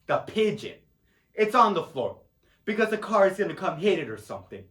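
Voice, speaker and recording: Man playing an animated Puerto Rican immigrant father. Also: sits high, brisk. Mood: guarded, reminiscing. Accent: Puerto Rican Spanish. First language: Spanish. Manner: broken English, rambling, tags phrases with "huh?". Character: middle-aged Puerto Rican immigrant father, proud, tender, grieving. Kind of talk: solo dramatic monologue. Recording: echoey room.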